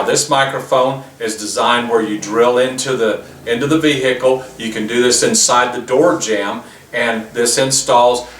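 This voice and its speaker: Guy demoing equipment, steady step by step explaining, kinda far off the mic, echoey room. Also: medium pitch. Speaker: product presenter. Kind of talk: product demonstration. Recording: roomy, distant mic with faint noise.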